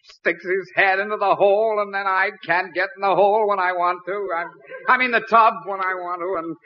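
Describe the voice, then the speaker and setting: A man's voice: high-pitched, steady tone, moderate pace, natural voice. An American man acting a flustered, put-upon middle-aged father who sputters and blusters in a 1940s radio comedy. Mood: exasperated. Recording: muffled vintage 1940s radio broadcast.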